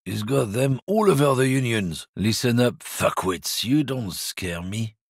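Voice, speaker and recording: An actor playing a surly, hostile lorry driver in a video game, sneering and swearing constantly, with a husky voice. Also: male voice, mid-range voice, lively intonation, measured pace, dry recording. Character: surly bigoted male lorry driver, middle-aged, hostile. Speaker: game character. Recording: dry close studio recording.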